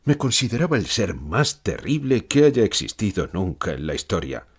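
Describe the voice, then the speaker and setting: Male actor as gloomy philosopher, anguished and declamatory; husky, very expressive pitch. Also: moderate pace, heavily acted. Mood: anguished, grandiose. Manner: dramatic, declamatory, rhetorical repeated questions. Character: gloomy, lucid pessimist philosopher, brooding and anguished man. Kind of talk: acted fictional interview, audiobook.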